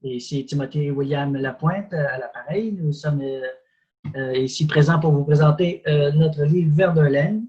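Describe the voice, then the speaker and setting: A man presenting a poetry book, ironic and halting with fillers. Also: a little high, darkish tone. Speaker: poet presenting his book. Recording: dull lo-fi sound.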